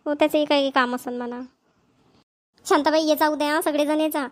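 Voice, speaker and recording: A woman's voice: sits high, fairly even intonation, fairly quick, lightly acted. A woman voicing a chatty, practical village woman in a cartoon, in homely Vidarbha Marathi. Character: chatty, practical village women neighbours planning an engagement. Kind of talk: amateur cartoon dialogue.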